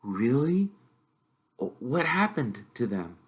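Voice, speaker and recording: A man voicing a modest, curious young wizard boy in a quiet, tentative way. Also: mid-range voice, sing-song, takes it slowly. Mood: hesitant.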